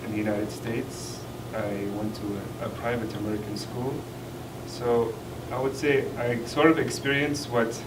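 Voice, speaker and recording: A man from Kuwait, an international student speaking on a discussion panel in an earnest, measured way. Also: mid-range voice, flat delivery. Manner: earnest, measured, pausing often. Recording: roomy, distant mic, noisy hall.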